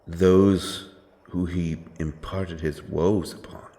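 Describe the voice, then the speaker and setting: Man acting a deep, slow, grim wandering avenger. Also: flat delivery, warm tone. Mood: tense, demanding. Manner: brooding, solemn, halting delivery with weighty pauses. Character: grim, doomed, godless wandering avenger of dark fantasy. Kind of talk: dramatic dark fantasy audio roleplay acting.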